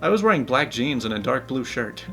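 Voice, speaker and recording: Brief: young male host, mid-pitched voice, animated and playful storytelling, faint music behind. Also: brisk, gravelly. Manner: animated, playful, laughs while telling. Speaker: channel host, story reader. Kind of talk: reading online stories aloud with commentary.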